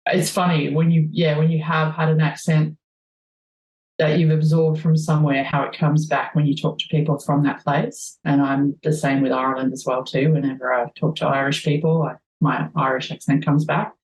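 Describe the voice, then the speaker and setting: A woman's voice: low-pitched, flat delivery, normal speed, a little mellow, roomy sound, poor audio. A woman with a broad Australian accent, chatting as a guest and telling rambling stories full of ums. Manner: rambling storyteller, laughs easily, many ums. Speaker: guest musician. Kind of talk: casual conversation.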